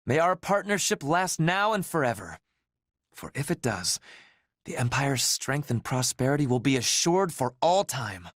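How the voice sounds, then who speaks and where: An actor playing a grandiose imperial ruler, speaking in formal, solemn declamation. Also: male voice, mid-range voice, sing-song, normal speed, a bit bright, dry recording, studio quality.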